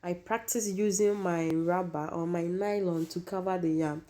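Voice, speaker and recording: Hoarse woman, casual cooking host, warm low-bright timbre, talks straight to viewers. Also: steady tone, moderate pace. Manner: chatty, repeats herself, addresses viewers as guys. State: hoarse, losing her voice.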